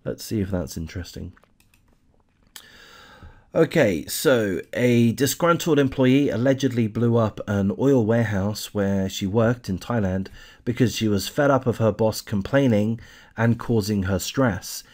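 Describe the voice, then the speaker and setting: A man's voice: on the low side, unhurried, darkish tone. A man hosting a true crime channel, casually reading a news article aloud with lots of hesitant fillers and restarts, and giving blunt opinions.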